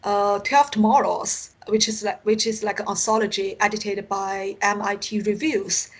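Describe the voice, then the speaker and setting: Halting woman with a Chinese accent. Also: mid-range voice, fairly even intonation. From China. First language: Mandarin Chinese. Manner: halting, searching for words. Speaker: guest, science fiction writer. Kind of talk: casual interview talk.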